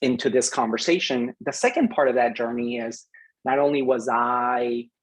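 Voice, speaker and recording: A middle-aged man from Peru giving a thoughtful, unhurried message to graduating students, with frequent pauses and fillers. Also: mid-range voice, poor audio. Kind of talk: recorded address to graduating students.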